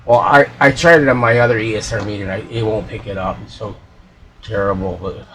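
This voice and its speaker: A man's voice: fairly low pitch, fairly even intonation, fast, fairly bright tone, a little hiss or hum, rough recording. A man giving casual, unscripted commentary as a repair hobbyist, folksy and muttering to himself.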